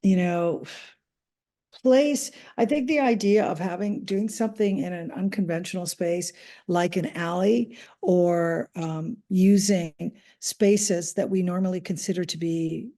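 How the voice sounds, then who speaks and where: Mellow-voiced woman, chatty and hesitant. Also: fairly low pitch, unhurried. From Canada. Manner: chatty, hesitant with many ums and restarts. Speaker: podcast guest. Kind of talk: casual interview answers. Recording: audio podcast remote recording.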